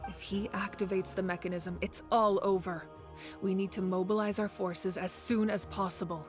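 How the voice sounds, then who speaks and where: A woman playing a composed, grave leader of warriors in a video game, measured and authoritative. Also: medium pitch, fairly even intonation, over music, close to an everyday voice. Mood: grave, urgent. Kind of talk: dramatic game voice acting.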